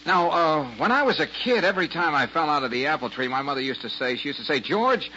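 An actor playing an easygoing, sharp male detective in a 1940s-style radio drama, with a halting, folksy delivery. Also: fairly high pitch, expressive. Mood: bumbling. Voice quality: halting, folksy, full of uhs. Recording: dull lo-fi old radio broadcast with faint hiss.

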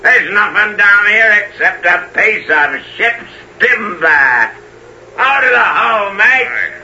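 A man playing an excitable, treacherous pirate henchman in an adventure drama, blustering and exclaiming in a gravelly voice. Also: high voice, slow, heavily acted. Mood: angry, accusing. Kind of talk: dramatized adventure story acting. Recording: noisy, dull lo-fi radio-like recording.

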